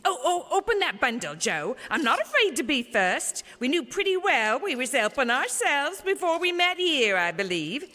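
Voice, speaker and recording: A brazen charwoman of Victorian times in a radio play. She scoffs coarsely in a high, sharp, forceful voice, with a hall's reverb around her. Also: big pitch swings, normal speed, hardly any pauses, exaggerated voice. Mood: brazen, scornful. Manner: bold, scoffing, coarse working-class phrasing. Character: brazen, scornful Victorian charwoman selling stolen goods. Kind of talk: radio drama acting. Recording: reverberant hall sound.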